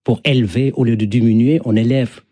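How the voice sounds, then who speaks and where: A man's voice: medium pitch, fairly even intonation, fairly quick, mellow, husky, no echo. A middle-aged man from Senegal with a Senegalese accent, a native Wolof speaker, talking reflectively as a storyteller being interviewed, in poetic, repetitive phrases.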